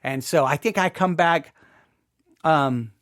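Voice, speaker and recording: A man's voice: on the high side, takes it slowly, a bit bright, no room sound, clean studio sound. A man hosting a podcast with informal personal commentary, emphatic, often repeating and rephrasing himself. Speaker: podcast host.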